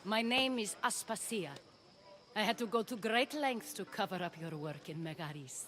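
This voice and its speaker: An actress playing a poised, shrewd society hostess of ancient Greece in a video game, composed and politely commanding. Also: female voice, mid-range voice, animated, moderate pace, close to an everyday voice. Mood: gracious, knowing. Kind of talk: dramatic video game dialogue.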